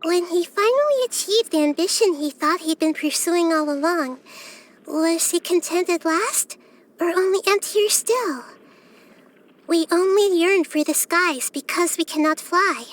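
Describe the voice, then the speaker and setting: A small child-like goddess from a fantasy video game, her voice high and girlish. She speaks gently and thoughtfully, like someone far wiser than she sounds. Her phrasing is measured and earnest, with a steady curiosity about people and the world. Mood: philosophical. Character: small wise young girl deity, gentle and curious. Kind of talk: scripted video game character voice lines.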